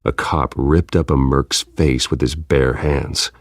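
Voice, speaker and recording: A man voicing a grim, controlled masked vigilante detective in a video game, speaking tersely and deliberately in a husky low growl. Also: steady tone, dry recording, studio quality. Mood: analytical. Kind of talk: video game voice acting, terse investigative lines.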